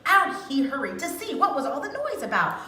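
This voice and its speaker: A woman reading a children's storybook aloud with dramatic, expressive phrasing, as a storyteller. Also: high-pitched, brisk. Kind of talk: animated storybook read-aloud. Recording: echoey room with faint noise.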